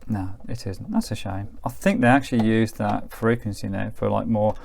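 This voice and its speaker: A British man giving a casual, hands-on demonstration as a radio reviewer, explaining as he works in an informal, low-key way. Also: normal speed, gravelly, a little mellow, slight background noise.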